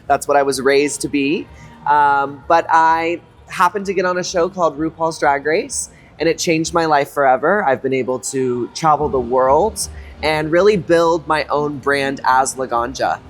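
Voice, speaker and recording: A drag performer and choreographer from Texas, chatting as a guest in a lively, campy, playful way with quick quips. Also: male voice, a little high, soft background music. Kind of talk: casual interview chat.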